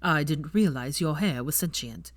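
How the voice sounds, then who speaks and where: A woman's voice: sits low, expressive, normal speed, no echo. A woman voicing a dry, teasing young wizard man with a mocking, sweary drawl, in a story reading.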